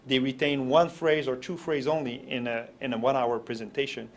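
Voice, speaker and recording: French canadian man, native french speaker, explaining his speaking method in interview, average pitch. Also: level tone, brisk. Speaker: motivational speaker.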